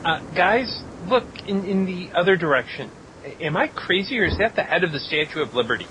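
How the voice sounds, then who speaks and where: A man playing a pedantic, cautious professor in a parody radio drama, husky and halting. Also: high voice, animated, bright, forceful tone, a little hiss or hum, poor audio. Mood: hesitant, fussy.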